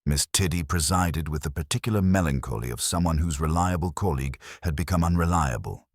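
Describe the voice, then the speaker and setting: A man reading a scripted mystery story as a narrator, in a measured, literary manner. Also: rumbling low, no echo, clean studio sound. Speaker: audiobook narrator.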